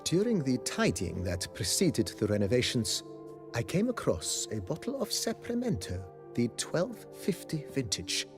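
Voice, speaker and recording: An actor playing a courteous, formal estate majordomo in a fantasy video game, speaking eloquently and deferentially. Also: male voice, medium pitch, wildly swooping pitch, measured pace, over music. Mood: ceremonious.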